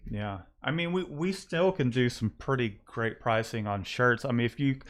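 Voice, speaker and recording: A man's voice: medium pitch, moderate pace, fairly bright tone. A man hosting a casual livestream about his screen-printing shop, talking in a rambling way with many restarts and fillers.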